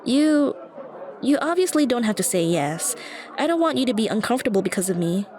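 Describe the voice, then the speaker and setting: A woman's voice: fairly high pitch, very animated, fairly quick, darkish tone. A woman playing a shy, anxious young stranger who rambles hesitantly and self-consciously to the listener in an ASMR roleplay. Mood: shy, grateful. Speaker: roleplay voice actress. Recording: busy cafe chatter behind voice. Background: coffee shop crowd murmur.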